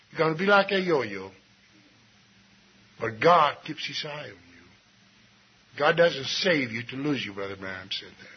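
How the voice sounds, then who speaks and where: Man, preacher, emphatic, fairly high voice, dull lo-fi recording. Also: breathy edge. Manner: emphatic, swings between low asides and raised exclamations; reads scripture slowly and deliberately. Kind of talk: sermon. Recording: dull lo-fi recording with faint noise.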